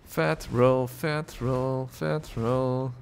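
A man's voice: mid-range voice, fairly even intonation, extremely slow, vocal fry, soft background music. Rambling male game streamer, close mic. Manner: rambling, lots of "uh" fillers, frequent chuckles. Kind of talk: casual live gameplay commentary, reading chat. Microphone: desk microphone close to the face.

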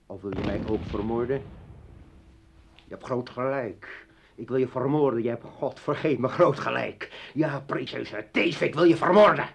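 A man's voice: mid-range voice, normal speed, vocal fry, close to an everyday voice. An actor plays a man full of bitter menace in a radio drama. He seethes and broods, turning mocking as he confronts his former partner. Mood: menacing, mocking. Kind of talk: radio play acting.

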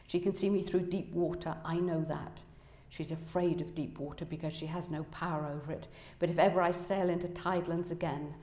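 A woman's voice: mellow, lightly acted. A woman voicing a bitter, fearful runaway husband in a novel reading, speaking in a cold, accusing way. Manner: low-voiced, cold, accusing. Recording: echoey room, public reading.

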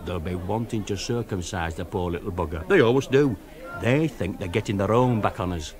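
An actor playing a grumbling older uncle, telling rambling, aggrieved stories. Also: male voice, deep voice, brisk, close to an everyday voice. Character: grumbling older northern uncle, set in his ways.

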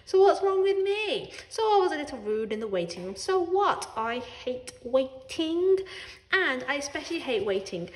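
A woman's voice: high-pitched, sing-song, moderate pace, voice pushed up. A woman voicing an indignant, sarcastic pet cat telling its diary in a children's storybook, with dramatic expression.

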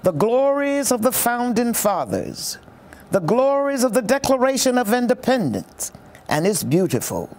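A commentator explaining a historic speech in a reflective, expressive storytelling way. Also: mid-range voice, measured pace. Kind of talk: reflective documentary-style commentary.

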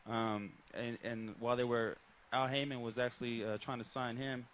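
A man's voice: mid-range voice, normal speed, slight background noise. A man in his thirties, a boxer guest, talking casually and rambling with lots of fillers on a headset mic at an outdoor set. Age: 30s. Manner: relaxed, rambling with many fillers. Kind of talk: casual interview talk.

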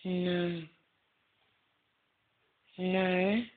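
A soft-spoken young woman hosting a casual gaming video, answering a guessing game in short words. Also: lowish voice, little pitch movement, very slow. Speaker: gaming channel host. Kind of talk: casual gameplay commentary. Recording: tinny lo-fi phone-like recording.

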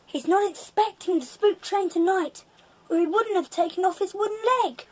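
Eager, good-natured British boy for children's drama, extremely high voice. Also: moderate pace, a little mellow. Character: eager English schoolboy adventurer, chatty and good-natured. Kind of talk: children's audio drama acting.

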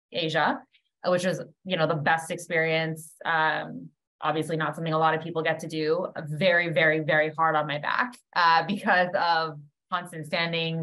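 A woman's voice: low-pitched, sharp tone. A woman in her thirties with a Canadian accent, chatting informally as a podcast guest and telling personal stories. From Canada, born in Trinidad. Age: 30s. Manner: informal, peppered with "like". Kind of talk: casual chat, personal storytelling. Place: indoor room, video call.

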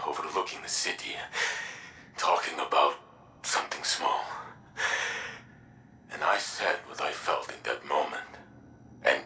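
An actor playing a troubled, self-doubting robot warrior in a video game, halting and formal. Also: male voice, sits low, pushed and bright, a little hiss or hum, heavily acted. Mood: hurt, insistent. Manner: slow, halting, formal phrasing. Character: troubled, wounded male robot warrior doubting his own worth. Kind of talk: dramatic video game acting.

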